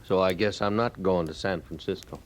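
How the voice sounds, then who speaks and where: Actor as deep-voiced, laconic frontier drifter. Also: male voice, lightly acted. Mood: wry. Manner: folksy, unhurried, evasive. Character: laconic, wry male frontier drifter wanting to enlist. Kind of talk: 1950s radio western acting. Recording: old radio recording with faint noise.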